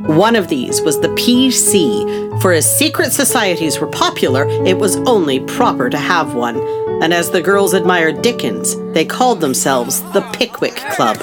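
A woman's voice: low voice, big pitch swings, normal speed. A woman giving scripted storybook narration as the narrator of an audio play. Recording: prominent plucked guitar music behind.

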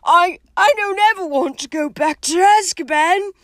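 A woman voicing a big, rough-spoken, soft-hearted and despairing gamekeeper man in an audiobook, with drawn-out, emotional speech. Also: high voice, no echo, studio quality, voice pushed up. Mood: anguished, bitter. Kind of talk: audiobook character voice.

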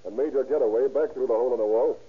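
A man playing a hard-boiled American police detective in vintage radio drama. He rattles along briskly in a husky, commanding voice, on a thin, tinny old recording. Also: mid-range voice, natural voice. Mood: pressing, stern. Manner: brisk, authoritative, clipped. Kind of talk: 1930s-40s radio crime drama acting.

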